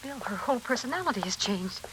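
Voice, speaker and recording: A woman's voice: fairly high pitch, lively intonation, quick pace, lightly acted. An actress playing a worried, conciliatory wife and mother in a 1940s radio suspense drama. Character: anxious, pleading wife and mother. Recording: hissy 1940s radio broadcast recording.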